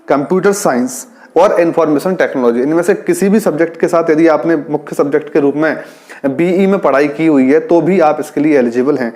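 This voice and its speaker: Higher-pitched man explaining step by step. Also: darkish tone. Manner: instructive, repeats key points for clarity. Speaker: police radio branch employee presenting an exam-guidance channel. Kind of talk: informal explainer addressed to job aspirants.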